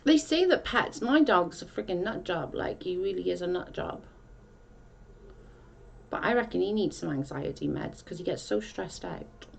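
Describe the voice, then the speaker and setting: A woman's voice: on the low side, big pitch swings, normal speed, gravelly, slight background noise. A woman from South Wales with a Welsh accent, chatting casually on a livestream and reading out comments in a rambling way with many restarts.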